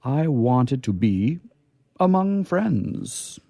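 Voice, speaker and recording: An actor playing a naive, courtly angel in a comic radio play, with formal, florid, hesitant phrasing. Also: male voice, mid-range voice, big pitch swings, extremely slow, gravelly, dark tone, no room sound, studio quality, full character voice. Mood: polite, hesitant. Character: naive, courtly, curious male angel discovering earthly pleasures.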